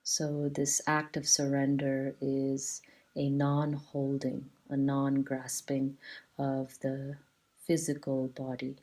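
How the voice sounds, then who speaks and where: A woman teaching a guided yoga relaxation in a soothing, measured way, leaving long pauses between short phrases. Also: very deep voice, completely flat delivery, mellow.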